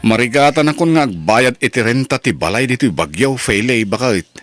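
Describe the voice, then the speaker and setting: A man's voice: medium pitch, lively intonation, brisk, fairly bright tone, dry recording, soft background music, lightly acted. Dry-sounding, earnest working husband played by a man. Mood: earnest, worried. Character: struggling working husband resolved to move home. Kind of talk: Ilocano radio drama acting.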